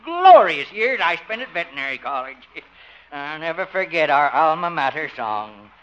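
An actor playing a proud, folksy old country horse doctor who rambles sentimentally, in 1950s radio comedy style. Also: male voice, on the high side, very animated, normal speed, a bit bright. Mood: sentimental, rapturous. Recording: noisy, dull old radio recording.

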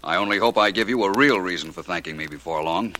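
An actor playing a calm, courteous private detective in a 1940s radio drama, composed and dry. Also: male voice, low voice, fast talker, natural voice. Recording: very noisy 1940s radio recording.

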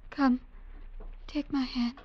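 An actress playing a gentle young blind village woman in 1940s radio drama, with a hushed, breathy voice. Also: high voice, level tone, slow pace, lo-fi sound, exaggerated voice. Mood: gentle, obedient.

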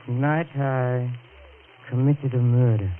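A man's voice: medium pitch, fairly even intonation, slow pace, natural voice. Man playing a stammering, desperate murderer. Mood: confessing. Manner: stammering, breaks into hysterical laughter. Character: desperate man who killed a rival. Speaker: radio drama actor. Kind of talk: 1940s radio acting. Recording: tinny lo-fi 1940s radio broadcast with hiss.

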